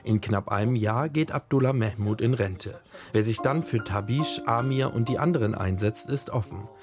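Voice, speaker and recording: A man reading a scripted documentary voice-over in an even, measured way. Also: on the low side. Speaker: documentary narrator. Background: faint music.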